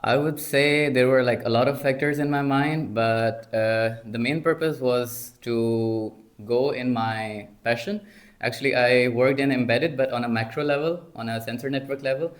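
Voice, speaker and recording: A man's voice: medium pitch, steady tone, moderate pace. Young Pakistani man, hesitant student speaker. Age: 20s. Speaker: master's student, podcast guest. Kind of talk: conversational panel answers.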